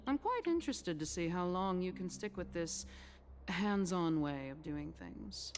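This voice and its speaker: A woman voicing a sly, haughty female council elder in a video game, formal, deliberate and condescending. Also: on the low side, lively intonation, a little mellow, a little hiss or hum. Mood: haughty warning. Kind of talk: scripted video game acting. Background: game soundtrack music.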